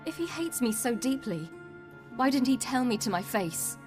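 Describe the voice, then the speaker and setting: A woman's voice: on the high side, sing-song, moderate pace, over music. An actress voicing a headstrong, hurt young woman with magic powers in a fantasy video game, intense and blunt. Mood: indignant, hurt.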